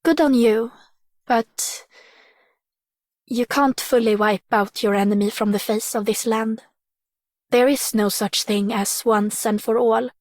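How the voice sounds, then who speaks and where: An actress playing a stern, watchful huntress who speaks bluntly in a game monologue. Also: female voice, fairly high pitch, steady tone, slow pace, long pauses, dry recording. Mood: blunt, approving. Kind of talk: scripted game character monologue.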